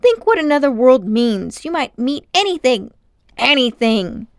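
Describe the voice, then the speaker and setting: A haughty older gentleman magician, acted out by a woman reader, vain and cruel, speaks in grandiose, indignant phrases. The storybook character sounds close and dry. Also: sits high, big pitch swings, measured pace, no echo, voice pushed up, heavily acted. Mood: offended, flustered.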